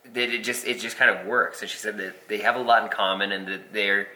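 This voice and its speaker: A man in his thirties telling stories in a joking, animated way in casual chat. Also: fairly low pitch, fairly quick. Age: 30s. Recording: roomy echo.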